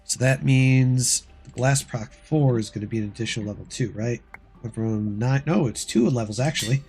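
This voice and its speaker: A man chatting casually on a gameplay livestream, rambling through game steps, his voice dry and hoarse as if he is losing it. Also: medium pitch, moderate pace, darkish tone, faint music behind. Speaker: game streamer.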